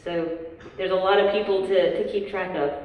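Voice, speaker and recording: A young woman with a Southern American accent, a worship leader chatting casually in an interview, self-deprecating and quick to laugh. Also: medium pitch, steady tone, narrow, tinny audio. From southern United States. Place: large hall at a worship event. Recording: echoey hall, voice from far off, faint noise.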